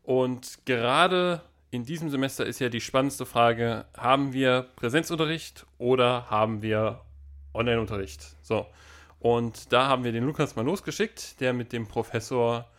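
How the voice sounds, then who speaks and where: A man's voice: medium pitch, moderate pace, fairly bright tone. Twenties male host, upbeat, reads a scripted podcast intro. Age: 20s.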